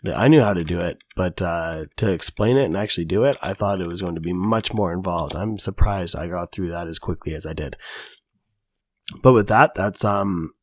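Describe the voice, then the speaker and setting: Man, slightly low voice, raspy from recent illness, casual tutorial host with plenty of ums, dry close mic. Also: moderate pace, no echo. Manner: casual, chatty, many fillers. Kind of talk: screen-recorded coding tutorial.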